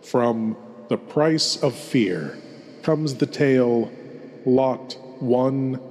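Male horror host character act, eerie and theatrical, unhurried old-time radio delivery with long reverb. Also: medium pitch, a little mellow. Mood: ominous, hushed. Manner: theatrical, deliberate, dramatic pauses.

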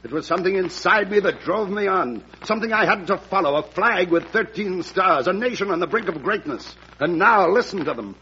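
A man's voice: sits high, animated, a little fast, few pauses, pushed and bright, dull audio. An actor playing a proud, wounded statesman accused of treason, theatrical and impassioned, in a classic radio drama. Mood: wounded, defiant. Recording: noisy, band-limited old radio recording.

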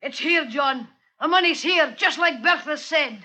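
A man's voice: extremely high pitch, a little slow, sharp tone, heavily acted. An actor in a 1950s radio drama playing a scheming sailor who goads his partner with wheedling urgency. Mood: urgent, goading. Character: scheming, goading murderous male sailor sidekick, Dick.

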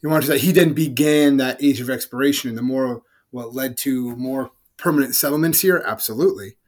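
A man's voice: medium pitch, fairly quick. A middle-aged man co-hosting a casual podcast, teasing his partner with easygoing banter. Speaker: podcast co-host. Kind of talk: casual chat.

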